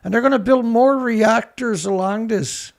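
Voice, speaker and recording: A man hosting a ranting commentary show, with a rough voice, blunt, angry and swearing. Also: very high voice, a little slow. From Canada. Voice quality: rough, gravelly voice. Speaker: show host.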